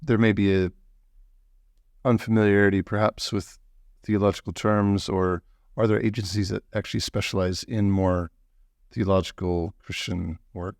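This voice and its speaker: A man hosting a podcast interview, relaxed and joking, with short acknowledgments. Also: fairly low pitch, normal speed, dry recording, clean studio sound. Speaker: podcast host. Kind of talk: casual interview conversation.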